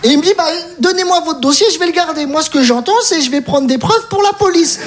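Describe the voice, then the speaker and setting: A woman with a high voice delivers a stand-up routine. She tells her story in an animated way and jumps into the other people's lines. The live recording has noticeable noise underneath. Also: quick pace, a loud crowd. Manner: animated storytelling, acting out dialogue. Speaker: stand-up comedian. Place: comedy stage.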